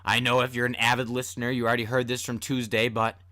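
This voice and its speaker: A man's voice: medium pitch, little pitch movement, quick pace, pushed and bright, dry recording, clean studio sound. A man hosting a podcast, talking casually and informally with self-deprecating asides. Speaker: podcast host and storyteller. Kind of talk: casual host talk.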